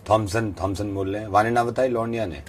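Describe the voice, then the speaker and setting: A man voicing a self-assured rustic fake professor with a rural western Uttar Pradesh accent, rambling and repeating himself deadpan in a prank call. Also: on the low side, fast talker, slight background noise, lightly acted. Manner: rambling, repetitive, bluntly folksy.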